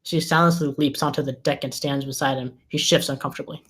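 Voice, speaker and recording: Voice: mid-range voice, steady tone, fast talker, a bit bright. A narrator reading scripted stage directions aloud at a screenplay table read.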